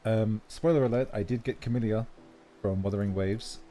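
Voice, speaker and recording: A man's voice: fairly low pitch, moderate pace, warm tone. A man chatting casually while livestreaming a game, his voice scratchy, rambling and correcting himself as he goes. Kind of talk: casual gameplay stream chat. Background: faint game music.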